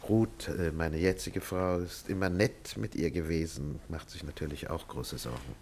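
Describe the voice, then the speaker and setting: A man's voice: deep voice, moderate pace, darkish tone, slight background noise. An actor playing a worried middle-aged psychiatrist and father in a 1950s German radio play, formal and hesitant in manner. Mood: troubled. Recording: 1950s radio drama recording.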